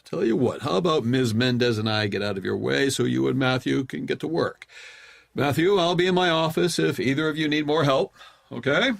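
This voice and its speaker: An actor playing a composed middle-aged school staff member in an audio drama, courteous and reassuring. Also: male voice, mid-range voice, fairly quick, gravelly. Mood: welcoming, reassuring. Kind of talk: naturalistic audio drama acting.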